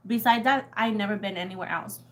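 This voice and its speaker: A woman's voice: mid-range voice, fairly quick. A young Puerto Rican woman with a Puerto Rican accent, rambling and laughing as she chats casually with viewers of her tarot livestream. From Puerto Rico. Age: young adult. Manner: chatty and rambling, laughs often, swears casually. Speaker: tarot reader.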